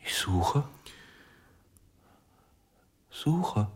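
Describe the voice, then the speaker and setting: An actor playing an anguished, broken ventriloquist in a radio crime drama, speaking haltingly in a breathy voice and repeating himself in desperation. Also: male voice, medium pitch, big pitch swings, exaggerated voice. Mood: broken, lost. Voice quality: husky, breathy. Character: anguished, broken male ventriloquist pleading with his puppet.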